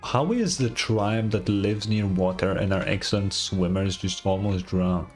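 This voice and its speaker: A man's voice: sits low, measured pace, darkish tone, faint music behind. A man streaming a game with casual live reaction commentary, blunt and incredulous.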